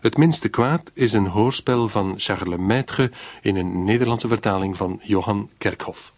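A man giving a scripted introduction as a radio drama presenter, measured and formal. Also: fairly low pitch, no room sound.

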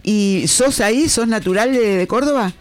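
A man's voice: high-pitched, normal speed, no echo. A man hosting a radio interview, introducing his guest with enthusiasm. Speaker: radio host.

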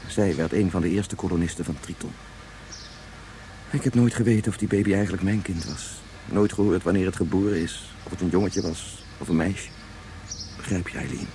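An actor playing an anguished, regretful scientist of great age in a Dutch science fiction radio play, speaking haltingly and turning back on his own words. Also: low-pitched, dark tone, slight background noise, lightly acted. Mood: brooding, regretful. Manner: halting, repetitive, ruminative. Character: anguished 177-year-old scientist, brooding, regretful father.

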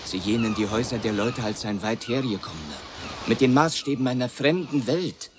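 Radio play pastor, male actor: formal, measured, reproving, average-pitched voice, slight noise behind. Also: animated, close to an everyday voice. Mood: stern, reproving. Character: stern pompous village pastor, formal and admonishing. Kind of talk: 1980s German radio drama acting.

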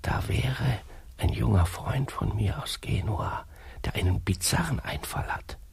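Husky whispering sly priest. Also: male voice, fairly low pitch, unhurried, no room sound, heavily acted. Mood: soothing, insinuating. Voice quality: husky, breathy. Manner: hushed confessional whispering, pious and conspiratorial. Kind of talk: satirical radio play acting.

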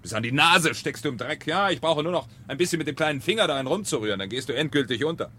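A man's voice: a little high, expressive, quick pace, pushed and bright, slight background noise. An actor playing a smug, menacing fire captain who lectures his fallen subordinate in a mocking, pontificating way, in 1970s German radio drama style. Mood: scornful.

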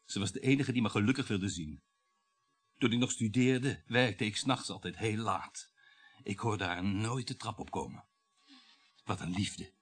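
A man's voice: fairly low pitch, normal speed, pauses often, husky, soft background music. A man in a Dutch radio play acting a weary, resentful husband, with a brooding, dramatic delivery. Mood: nostalgic, brooding. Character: weary, resentful husband devoted to his mother.

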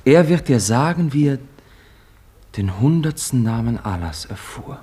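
An actor playing an earnest, devout young pilgrim who pleads and insists, in a 1950s radio play. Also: male voice, mid-range voice, expressive, unhurried, warm tone, breathy edge. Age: young adult.

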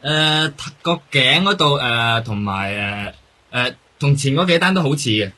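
A man's voice: mid-range voice, animated, unhurried, bright, forceful tone. A man with a Hong Kong accent playing a deferential, hesitant policeman in a radio drama. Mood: hesitant, uneasy. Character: deferential male policeman at a crime scene.

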